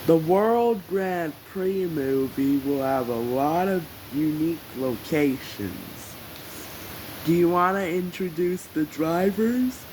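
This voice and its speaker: A woman hosting a fan video, narrating in a plain, unhurried, friendly way. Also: low voice, darkish tone, a little hiss or hum, low-quality recording. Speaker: host of a fan video channel. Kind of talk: casual scripted narration.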